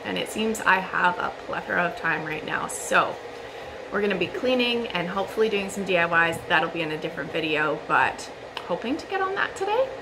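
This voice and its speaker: A woman in her thirties chatting casually to the camera in her home dining room, relaxed and talky with little fillers. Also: lowish voice, a little fast, vocal fry, noisy background. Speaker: vlogger.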